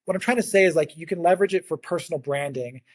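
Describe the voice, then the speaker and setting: Higher-voiced man explaining in an interview. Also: fast, no echo. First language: English. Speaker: guest, agritech consultancy founder. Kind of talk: interview answers, explanatory talk.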